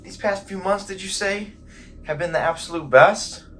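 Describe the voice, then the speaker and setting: A man's voice: high-pitched, normal speed, a bit bright, a little hiss or hum. A man playing an exhausted, bitter, volatile sleepless man in an audio drama, with intense emotional delivery. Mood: weary bitterness.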